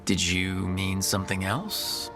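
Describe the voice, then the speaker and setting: An actor playing an easygoing adventurer leader in a video game, relaxed, encouraging and playfully teasing. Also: male voice, low-pitched, a little slow. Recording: game music behind the voice.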